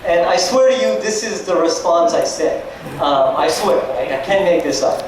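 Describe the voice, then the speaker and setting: A man's voice: a little high, lively intonation, moderate pace. A young man telling stand-up stories at an open mic in a hesitant, self-deprecating way. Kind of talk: stand-up storytelling to an audience. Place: nightclub stage at an open mic. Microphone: picked up from a distance in the room. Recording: echoey nightclub, far mic, noisy crowd.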